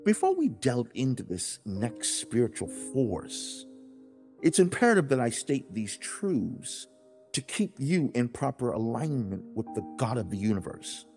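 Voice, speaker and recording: A man performs God as a stern yet loving father, with music underneath. His pitch moves expressively as he preaches in an earnest, deliberate way. Dramatic pauses and firm emphasis give it the feel of a dramatized religious audiobook. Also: sing-song, a little mellow. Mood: solemn, instructing. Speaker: audiobook narrator.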